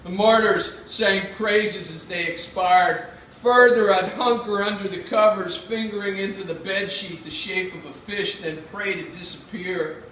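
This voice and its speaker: An American man from Pittsburgh giving a measured, dramatic live reading of his own literary writing. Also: high-pitched, steady tone, a bit bright. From Pittsburgh, United States. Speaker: writer reading own work. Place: indoor reading event. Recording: echoey room, far mic, faint noise.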